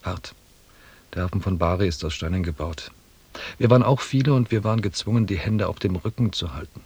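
An actor playing a reflective expedition scribe who narrates a radio drama in a measured, restrained and quietly melancholy way. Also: male voice, low-pitched, slightly rough, heavily acted. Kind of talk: scripted first-person narration in a German radio drama.

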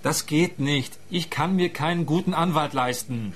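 A man as a blunt suspect, fairly high-voiced. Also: normal speed, a little hiss or hum, lightly acted. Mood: defensive. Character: wary, defensive male murder suspect short of money. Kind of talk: radio play dialogue.

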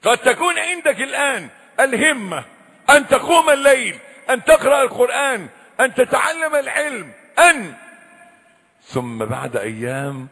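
A high-voiced preacher whose pitch leaps and falls as he pleads, cries out, then drops to a hushed warning. His tone is bright and forceful. His voice rings through a reverberant hall. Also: breathy edge. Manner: impassioned, swings between loud cries and hushed low warnings. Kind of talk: sermon. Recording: echoey hall with long reverb.

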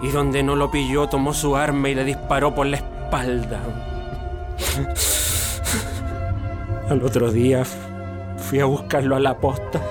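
Male actor as grieving witness, Chilean accent, medium pitch, colloquial somber monologue, music underneath, radio play. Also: little pitch movement, moderate pace, close to an everyday voice. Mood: anguished. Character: grieving Chilean man recounting a killing.

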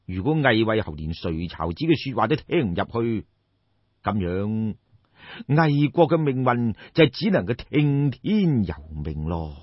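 A man's voice: medium pitch, sing-song, measured pace. A man with a Cantonese accent telling a story in a colloquial, exclamatory, theatrical audiobook style. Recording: dull, roomy lo-fi recording.

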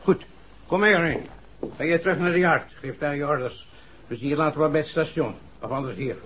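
An actor in a 1960s Dutch radio play playing a steady, practical police inspector who takes charge calmly. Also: male voice, fairly high pitch, brisk, close to an everyday voice. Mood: calm, commanding. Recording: dull, noisy old radio recording.

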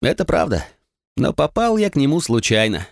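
A man's voice: mid-range voice, big pitch swings, normal speed, gravelly, dry recording, studio quality, pitched above the performer's own voice. A man doing a modest streetwise young man. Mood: self-deprecating. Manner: self-deprecating, eager. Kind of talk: audiobook character reading.

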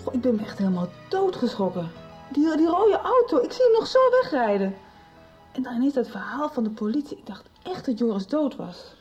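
A woman's voice: high-pitched, big pitch swings, brisk, a little mellow, muffled recording, faint music behind. A young woman playing an impatient, agitated daughter in a radio soap, pressing with insistent questions. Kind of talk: radio drama acting.

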